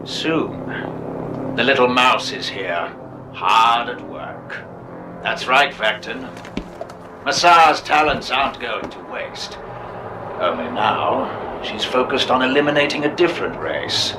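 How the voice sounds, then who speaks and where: A man's voice: medium pitch, lively intonation, noisy background. A man playing a smug, calculating game villain, condescending and coldly patient. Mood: smug menace. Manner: condescending, measured menace. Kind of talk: dramatic video game acting. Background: clear music.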